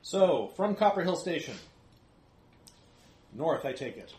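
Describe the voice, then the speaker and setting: A man's voice: a little high, sing-song, slow pace, a little mellow. Easygoing man running a tabletop game. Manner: easygoing, laughs often. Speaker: game master. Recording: echoey room with faint noise.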